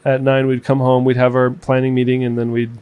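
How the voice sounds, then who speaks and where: A man's voice: medium pitch, completely flat delivery, fairly quick, darkish tone, clear, resonant tone, no echo. A man in his thirties, co-hosting a casual home podcast, telling a long story with many fillers and restarts. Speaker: podcast co-host. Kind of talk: casual chat. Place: home room.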